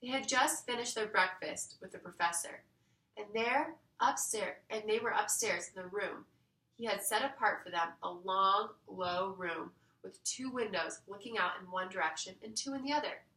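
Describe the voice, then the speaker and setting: A woman reading a children's story aloud in an expressive storytelling style. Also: medium pitch, moderate pace. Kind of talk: expressive scripted storybook narration. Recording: roomy echo, distant mic.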